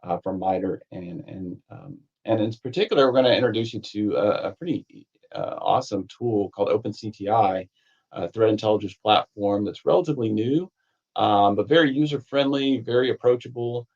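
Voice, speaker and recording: Low-voiced man with a slightly raspy voice. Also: normal speed, a little mellow, muffled recording. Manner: rambling, many fillers and restarts. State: slightly raspy voice, needs a cough drop. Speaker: course author and instructor. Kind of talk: conversational technical course walkthrough.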